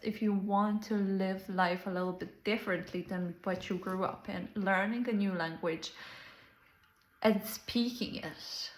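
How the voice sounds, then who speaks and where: A woman's voice: mid-range voice, fairly even intonation, measured pace, roomy sound, low-quality recording. A woman with a Serbian accent, a Serbian native speaker, talking as a life coach in an earnest, reflective monologue with simple non-native grammar.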